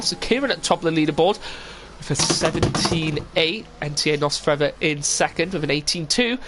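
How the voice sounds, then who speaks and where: A young man with a stuffy head cold talks through a sim race on a home stream, loose and rambling with plenty of ums. His voice sits a little high for a man, with a faint hiss of noise behind him. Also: a bit bright. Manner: casual, rambling with many fillers. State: full of cold, sniffly. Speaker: sim racing commentator. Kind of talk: live stream race commentary.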